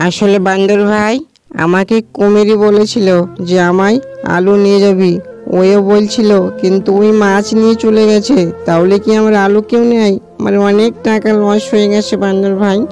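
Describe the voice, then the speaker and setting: A woman's voice: mid-range voice, flat delivery, normal speed, warm tone, natural voice. Cartoon fox, sad and gullible, plaintive complainer, woman performer, light music underneath. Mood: ashamed. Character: sad, poor, gullible fox with a grumbling streak. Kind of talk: cartoon animal dialogue.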